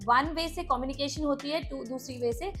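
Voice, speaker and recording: A woman's voice: high-pitched, moderate pace, fairly bright tone, soft background music. A woman hosting a casual product demo, explaining things and mixing in English words. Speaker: product review host.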